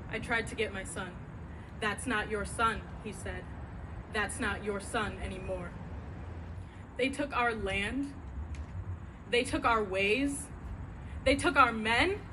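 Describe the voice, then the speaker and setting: Over clear background noise at a live venue, an actress plays a wronged, battered wife telling her story on stage. Her mid-pitched voice is emphatic and vivid, both wounded and defiant. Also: takes it slowly, long pauses, close to an everyday voice. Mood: wounded, grieving. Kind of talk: theatrical monologue at a staged reading. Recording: noisy live venue, traffic-like rumble.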